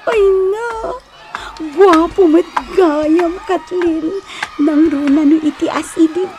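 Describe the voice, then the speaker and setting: An actress with a Filipino accent playing a giggly, teasing matchmaker friend in a radio drama. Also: female voice, very high voice, takes it slowly, music in the background. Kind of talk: Ilocano radio drama acting.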